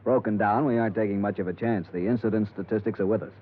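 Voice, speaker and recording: A man's voice: fairly low pitch, steady tone, quick pace, lightly acted. A man acting a worn-out, stubborn and suspicious insurance boss in a 1950s radio drama, grumbling and insistent. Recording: tinny, band-limited 1950s radio recording.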